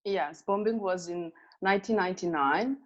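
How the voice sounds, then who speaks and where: A woman with a Serbian accent, a guest telling her personal story in an interview, earnest and halting, with restarts. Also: fairly low pitch, dull audio.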